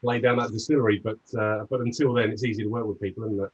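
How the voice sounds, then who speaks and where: A man's voice: lowish voice, little pitch movement, very fast, slightly rough, lo-fi sound. A man interviewing a brand's founders in a casual chat, hesitant with many fillers.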